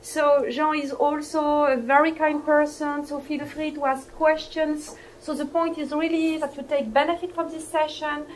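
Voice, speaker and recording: A woman's voice: sits high, little pitch movement, moderate pace, hardly any pauses, poor audio. A woman speaking as a lecturer introducing a guest to students, encouraging and repeating her key points. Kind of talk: spoken introduction to a university lecture. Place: lecture room. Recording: live lecture recording with background noise.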